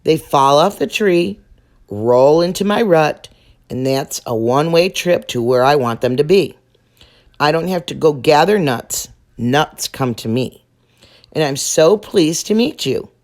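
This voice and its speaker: A woman's voice: sits low, lively intonation, a little slow, darkish tone. A woman voicing a chatty, self-pleased male squirrel in a storybook, talking in a boastful way. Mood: proud.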